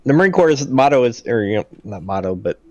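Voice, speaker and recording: A man's voice: medium pitch, big pitch swings, brisk, darkish tone, dry recording. A man who works as a Marine aircraft mechanic answers technical questions in a plain-spoken, casual way over a video call.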